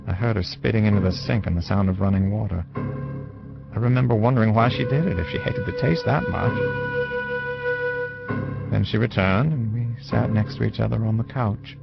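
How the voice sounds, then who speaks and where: An actor playing a wistful man who recalls his youth in measured, literary first-person dramatic narration. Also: fairly low pitch, creaky. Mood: detached, recollecting. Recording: dull lo-fi audio with music. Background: music.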